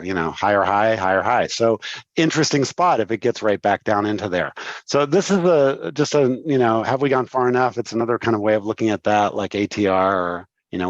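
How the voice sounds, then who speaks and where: A man's voice: medium pitch, no room sound, highs cut off. A man in his sixties with a cold, explaining his trading setup in casual live-stream commentary, with lots of fillers and restarts. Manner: rambling, filler-heavy explaining. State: congested from a cold. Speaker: trading educator on a livestream.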